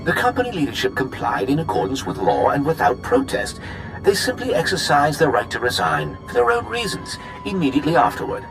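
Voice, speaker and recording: An actor playing a smug, slick sci-fi corporate executive in a video game, with a pompous, glib, teasing manner. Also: male voice, fairly high pitch, huge pitch swings, fairly quick, over music, low-quality recording. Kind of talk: video game cutscene acting.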